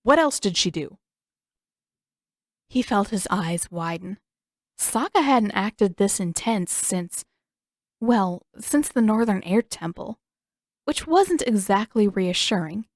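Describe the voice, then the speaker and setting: A woman's voice: mid-range voice, expressive, slow, a little mellow, no room sound, clean studio sound. A woman reading scripted fiction aloud as an audiobook narrator.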